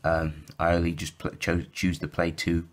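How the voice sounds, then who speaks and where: Low-pitched male host, a bit croaky, casual deck walkthrough, filler-heavy and self-correcting. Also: monotone, moderate pace. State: slightly croaky from sore throat. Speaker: YouTube deck profile host.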